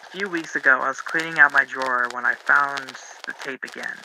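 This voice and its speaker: Male actor for young 1920s interview guest: unsure, courteous, mid-pitched with vocal fry, clearly noisy crackling recording. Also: steady tone, close to an everyday voice. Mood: polite, hesitant. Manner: halting, polite, unsure. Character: hesitant, polite young man giving a 1920s radio interview. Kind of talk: recounting memories in a staged interview.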